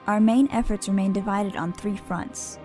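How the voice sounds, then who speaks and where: A woman playing a businesslike pony official reading out a formal, measured investigation report in an audio drama. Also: mid-range voice, steady tone, mellow, faint music behind, natural voice.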